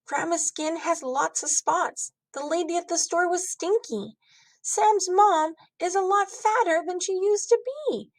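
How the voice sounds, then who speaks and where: A woman's voice: extremely high pitch, measured pace, pitched above the performer's own voice. A woman voicing a blunt, indignant young boy in a children's storybook, whining and protesting in self-defence. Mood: indignant, defensive. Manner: whiny, protesting.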